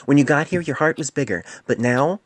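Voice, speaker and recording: A man voicing a stern, wise older female pony physician in a storybook reading, speaking in a measured, grave, admonishing way. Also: medium pitch, lively intonation, no echo, pitched above the performer's own voice, natural voice. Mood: earnest, admonishing.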